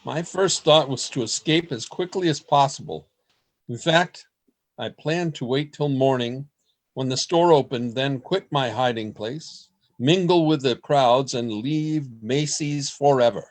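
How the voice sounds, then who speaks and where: A man playing an earnest, anxious trapped man in a radio play, speaking in a dramatic, deliberate way. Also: mid-range voice, close to an everyday voice. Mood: haunted, wistful. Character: earnest, anxious man trapped among secret store dwellers. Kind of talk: radio play acting with diary narration.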